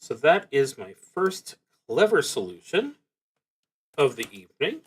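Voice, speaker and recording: Slow-paced man thinking aloud. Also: on the high side, big pitch swings, slightly rough. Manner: halting, musing, pauses mid-sentence. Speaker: solo tabletop roleplaying streamer. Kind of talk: thinking aloud while playing, reading out game prompts.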